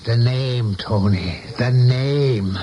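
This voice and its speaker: A man's voice: mid-range voice, very slow, mellow, dull audio. An actor playing an anxious, rattled man demanding answers in a 1970s radio drama. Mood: grave insistence. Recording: faintly noisy old radio recording.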